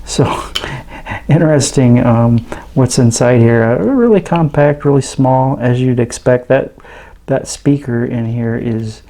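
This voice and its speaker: Mellow, creaky-voiced man thinking aloud. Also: medium pitch, unhurried. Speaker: host of an electronics teardown channel. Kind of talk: casual hands-on demonstration commentary.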